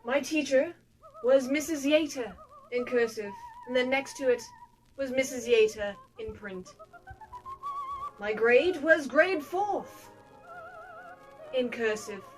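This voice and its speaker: A young woman giving a mock-dramatic, deliberately hammy reading for her video audience, with drawn-out emphasis. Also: high voice, very animated, music in the background, poor audio. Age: young adult. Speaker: video creator presenting to subscribers.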